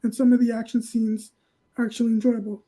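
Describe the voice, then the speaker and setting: A woman's voice: a little high, level tone, fast, mellow, husky, rough recording. A woman giving her opinions casually as a panelist. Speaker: panelist on a movie show.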